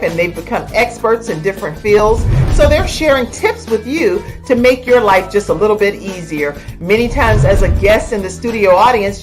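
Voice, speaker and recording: Upbeat female host, emphatic delivery, soft music bed. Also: medium pitch. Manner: energetic, chatty, emphatic. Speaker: talk show host. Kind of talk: upbeat talk show hosting. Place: virtual studio.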